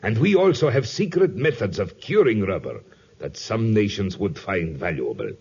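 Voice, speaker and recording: A man's voice: lowish voice, big pitch swings, moderate pace, a little mellow, husky, full character voice. An actor playing a domineering, suspicious plantation owner and father in a 1950s radio drama, formal and self-justifying in manner. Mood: stern, defensive. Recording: dull vintage 1950s radio recording.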